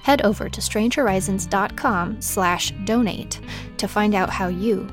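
A woman's voice: medium pitch, a little slow. A woman hosting a podcast, reading a scripted announcement to listeners. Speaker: podcast host. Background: guitar music.

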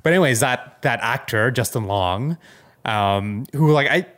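A man's voice: mid-range voice, animated, measured pace, a bit bright. A man co-hosting a podcast in a casual, rambling tech chat, informal and full of loose asides. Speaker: podcast co-host.

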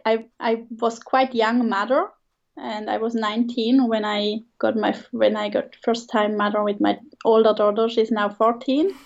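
A woman's voice: fairly high pitch, darkish tone, highs cut off. A woman in her thirties from Switzerland, a guest chatting casually in an interview, hesitant and often restarting with light laughs.

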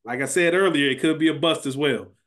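A man's voice: on the high side, brisk, a bit bright. An American man co-hosting a podcast in casual, bantering chat. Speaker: podcast co-host.

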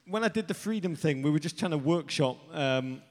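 A man's voice: on the high side, lively intonation, fairly quick. A British man co-hosting a live stage interview with jokey, theatrical banter. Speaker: co-host interviewing a guest on stage. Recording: echoey theater hall with live audience.

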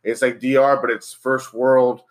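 A man's voice: mid-range voice, little pitch movement, unhurried. A man in his thirties from the Dominican Republic, a guest telling stories in casual podcast chat, rambling with many fillers and restarts and laughing often.

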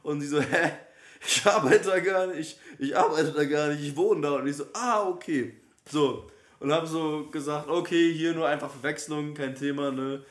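A man's voice: a little high, moderate pace. A young man co-hosting a casual podcast, telling a rambling story full of restarts and self-corrections. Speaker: podcast co-host. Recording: echoey room on a cheap mic.

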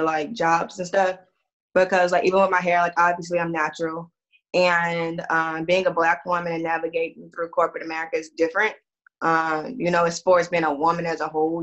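A woman's voice: on the low side, a bit bright, muffled recording. A woman in her twenties from Houston with a Texan accent, speaking firmly and outspokenly in a casual group discussion. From Houston, Texas. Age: 20s. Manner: firm, outspoken. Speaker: discussion participant.

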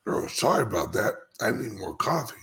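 A man with a raspy voice delivers an informal, emphatic monologue as a crypto commentator, repeating phrases and leaving dramatic pauses. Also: fairly low pitch, sing-song, pushed and bright. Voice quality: speech impediment. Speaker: cryptocurrency commentator and show host.

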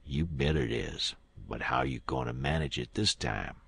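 A man voicing a brutal, sullen river gangster with a gruff voice and a rustic drawl in an audiobook. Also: low voice, a little slow, dry recording. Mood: grudging. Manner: rustic drawl with folksy dialect. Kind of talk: audiobook character acting.

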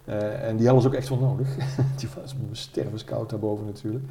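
Dark-voiced man in an echoey room. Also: fairly low pitch, steady tone, fairly quick. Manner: hesitant, piecing thoughts together. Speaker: expert interviewee, military historian. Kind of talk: informal explanatory interview. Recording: echoey room, faint noise.

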